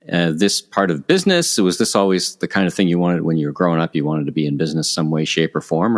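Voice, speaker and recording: A man hosting a podcast, moving between scripted introduction and conversational interview questions. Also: sits low. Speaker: podcast host.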